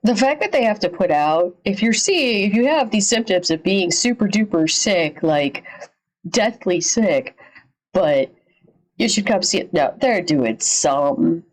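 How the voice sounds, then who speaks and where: A woman's voice: medium pitch, animated, unhurried, poor audio. A woman livestream host giving casual, slangy reaction commentary, repeating phrases and swearing a little.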